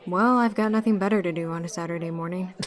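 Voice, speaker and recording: A woman's voice: on the low side, fast talker, lightly acted. A woman voicing a casual, wry teenage boy trainee in an amateur anime-style audio drama. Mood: wry, friendly.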